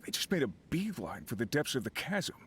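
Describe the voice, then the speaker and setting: An actor playing an easygoing but uneasy miner who leads a crew, speaking in an earnest, conversational, explanatory way as video game dialogue. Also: male voice, medium pitch, wildly swooping pitch, brisk, a bit bright, a little hiss or hum. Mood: uneasy, puzzled. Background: game background music.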